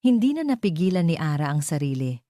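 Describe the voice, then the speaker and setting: A woman's voice: low-pitched, very animated, quick pace, warm tone, no room sound, clean studio sound. A woman reading a scripted dramatic story as its narrator.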